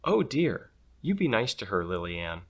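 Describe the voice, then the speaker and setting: A man's voice: on the low side, sing-song, moderate pace. A man voicing a kindly, attentive mother with a doting, inquisitive manner in a storybook. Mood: sympathetic.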